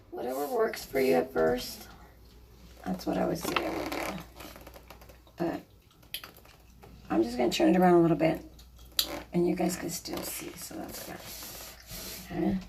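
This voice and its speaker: A woman with a slightly hoarse, raspy voice, casually narrating a painting project in a chatty, apologetic way with lots of fillers. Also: fairly low pitch, animated, takes it slowly, long pauses, distant mic, background noise. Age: adult, a mother. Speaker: DIY vlogger.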